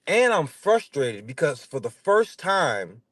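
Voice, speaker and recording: Male, thirties, high voice with a stutter, dry sound. Blunt, slangy, emphatic casual storyteller as an interview guest. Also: big pitch swings, takes it slowly, a bit bright, no room sound. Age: 30s. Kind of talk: casual chat, animated storytelling.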